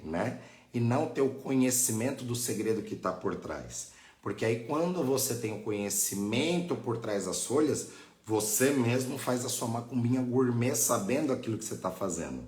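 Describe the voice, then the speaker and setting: A Brazilian man teaching informally on a live stream, didactic and often checking that listeners follow. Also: mid-range voice. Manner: didactic, frequent checking tags. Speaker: religious teacher hosting a live online class. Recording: echoey, roomy sound.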